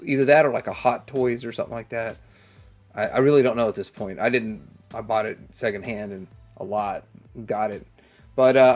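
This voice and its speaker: A man hosting a casual hands-on toy review, rambling and thinking aloud as he goes. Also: medium pitch. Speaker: toy review channel host.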